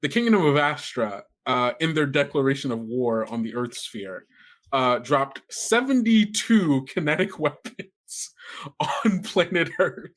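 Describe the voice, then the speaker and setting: A man's voice: medium pitch, expressive, measured pace, a bit bright. Casual man hosting a tabletop game. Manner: joking, informal. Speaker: game master and host.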